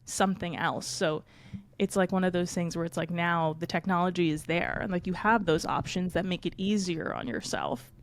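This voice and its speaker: A woman's voice: lowish voice, fairly even intonation, moderate pace, slight background noise. A woman in her twenties co-hosting a podcast in easygoing, reflective casual chat. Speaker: podcast co-host.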